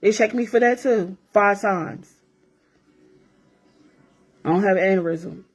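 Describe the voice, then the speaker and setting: A woman's voice: mid-range voice, unhurried, faint music behind. A woman in her forties talking straight to her viewers in a blunt, profane rant, repeating herself for emphasis. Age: 40s. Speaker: vlogger. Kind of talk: casual rant to camera.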